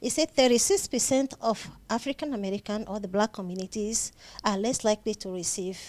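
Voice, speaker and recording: A woman's voice: mid-range voice, a little fast, a little mellow. A woman with a Nigerian accent speaking as an interview guest, earnest and emphatic, often repeating phrases to stress them. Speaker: psychiatric nurse practitioner, interview guest. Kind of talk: conversational interview.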